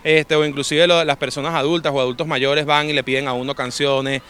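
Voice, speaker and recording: A man's voice: fairly high pitch, level tone, a little fast, a crowd in the background. A young man with a Venezuelan accent giving a colloquial, reflective interview answer as a volunteer musician. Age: young adult.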